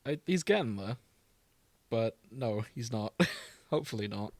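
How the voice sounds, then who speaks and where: A man with an average-pitched voice co-hosts a casual fan podcast. He speaks in a loose, conversational, jokey way. The sound is dry, with hardly any room on it. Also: expressive, measured pace, darkish tone, no room sound. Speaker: podcast co-host. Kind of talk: casual banter about fandom.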